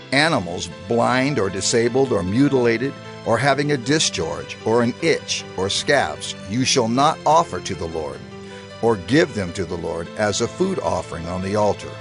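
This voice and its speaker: A man voicing a grave proclaimer of divine law, measured and authoritative. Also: medium pitch, natural voice. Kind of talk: dramatized scripture reading.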